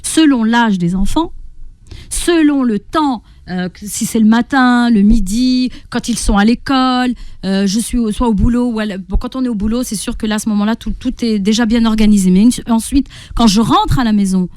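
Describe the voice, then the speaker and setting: A woman with a fairly high voice speaks as a coach on a radio programme. She explains in a didactic way, checking in with short questions and voicing everyday thoughts as examples. The sound is dry and close, as in a studio. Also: moderate pace, a little mellow, no echo. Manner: didactic, often checks in with rhetorical questions and acts out inner speech. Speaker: guest parenting coach and therapist.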